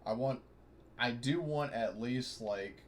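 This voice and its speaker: A man's voice: mid-range voice, slow. A man playing an online tabletop role-playing game, talking casually and hesitantly, often rambling and correcting himself. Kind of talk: casual in-character and out-of-character game talk. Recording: clearly noisy online voice chat.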